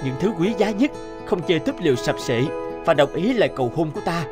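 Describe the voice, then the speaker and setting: A man's voice: on the high side, very animated, quick pace. A man voicing a patient, courteous suitor and king in a Vietnamese cartoon, speaking in formal phrases. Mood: proud, solemn. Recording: background music under the voice.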